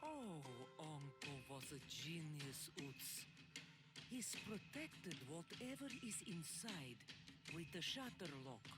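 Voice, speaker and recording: A woman's voice: sits low, big pitch swings, slow, full character voice. An actress playing an anxious, earnest young woman searching for her lost uncle, heard in game audio. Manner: earnest, pleading. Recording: game audio over loud music.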